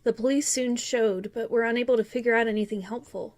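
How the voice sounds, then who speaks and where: A woman's voice: a little high, fairly even intonation, brisk, a little mellow, lightly acted. A woman playing a grown-up wistfully recalling childhood, narrating a scripted first-person story in an earnest, reflective way.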